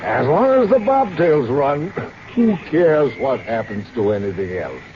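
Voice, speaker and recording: An actor playing a genial, patronising gentleman doctor in an old radio drama, with an avuncular, genteel manner. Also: a little high, very animated, a little slow. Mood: jovial. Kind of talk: mid-century radio drama acting. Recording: noisy, dull vintage radio recording.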